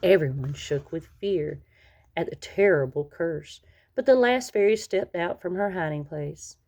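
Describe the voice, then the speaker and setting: Woman reading a fairy tale out loud for kids, lowish voice, expressive, stresses key words. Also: a little slow. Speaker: storybook reader. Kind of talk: read-aloud children's story narration.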